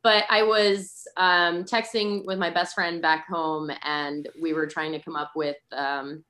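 A woman's voice: on the low side, flat delivery, measured pace, bright, forceful tone. A woman from New England, a podcast guest talking casually with frequent likes and ums. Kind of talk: casual interview.

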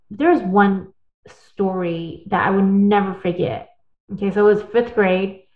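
A woman telling her own story in a casual interview, earnest and talkative, with tag questions and restarts. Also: mid-range voice. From born in the United States, partly raised in Taiwan. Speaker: guest. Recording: echoey, phone-like audio.

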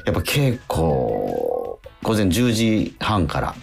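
A man's voice: sits low, huge pitch swings, slow, creaky, faint music behind. A man hosting a casual radio talk in a conversational way, often seeking agreement from his listener. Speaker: radio show host and stage actor. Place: remote recording.

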